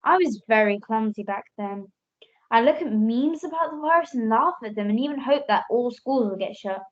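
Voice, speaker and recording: A woman's voice: a little high, expressive, normal speed, lightly acted. A young woman playing a mother who patiently explains and corrects, in an amateur radio play. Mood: regretful. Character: patient, correcting mother telling her child history. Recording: dull lo-fi home recording.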